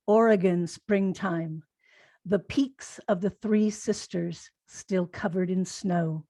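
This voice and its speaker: A woman poet reading haiku aloud in a measured delivery with pauses between the phrases. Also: lowish voice, dark tone, no room sound. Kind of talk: poetry reading.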